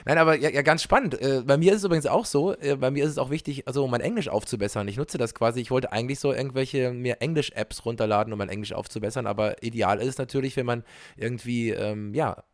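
Male podcast co-host, mid pitch, chatty and laughing, dry close mic. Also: quick pace, dry recording. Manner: chatty, many fillers and restarts, laughs often. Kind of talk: casual remote podcast chat. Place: home, recording remotely.

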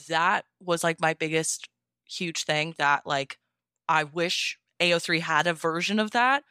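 A woman's voice: fairly low pitch, a bit bright, clean tone, no room sound, clean studio sound. A young woman chatting casually as a podcast guest, gushing with enthusiasm and peppering her talk with "like".

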